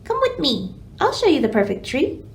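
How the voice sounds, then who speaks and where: A woman voicing a brisk, eager woodpecker guide in a children's storybook, confident and inviting. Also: high voice, huge pitch swings, exaggerated voice. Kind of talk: children's storybook read-aloud.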